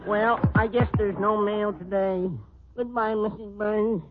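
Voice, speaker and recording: Slow, high-voiced, fussy American postman. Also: fairly even intonation, music in the background, crowd noise. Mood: chirpy. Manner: flowery, mock-poetic, drawn-out delivery. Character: giddy, flowery, fussy male postman. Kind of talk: old radio comedy acting. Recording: scratchy, tinny old radio recording.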